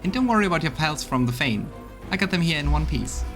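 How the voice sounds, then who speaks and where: Man putting on a high-pitched, gung-ho crusade fighter. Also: expressive, quick pace, music in the background. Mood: brisk, commanding. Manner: punchy, slangy military briefing. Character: brash, gung-ho crusade fighter rallying a last stand. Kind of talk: acted reading of game dialogue.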